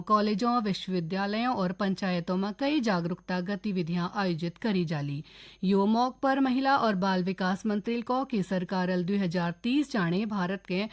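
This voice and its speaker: A woman newsreader with a Kumaoni accent, reading a scripted regional radio bulletin in a steady, formal way. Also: mid-range voice. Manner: steady, formal news delivery.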